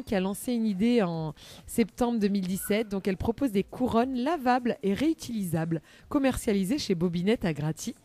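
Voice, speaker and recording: A woman presenting a chatty live radio magazine segment in a bubbly, playful and expressive manner. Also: mid-range voice, dry recording.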